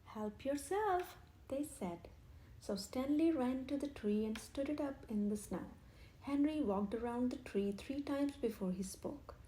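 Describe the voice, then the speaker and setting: A woman reads a children's picture book aloud in a gentle, expressive way. Her voice sits high, and its tone is soft and mellow. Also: measured pace, low-quality recording. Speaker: children's storyteller.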